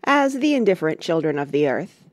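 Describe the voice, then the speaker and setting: A woman's voice: low-pitched, sing-song, a little fast, no room sound, studio quality. A woman playing a courteous male courtier, friend to a prince, in a Shakespearean dramatic reading.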